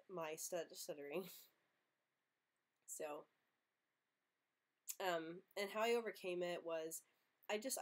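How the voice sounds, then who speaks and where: Young woman who stutters often. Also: on the low side. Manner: stutters often, with repeated sounds and blocks; earnest, open, laughs easily. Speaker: livestream host answering viewer questions. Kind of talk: casual live Q&A chat.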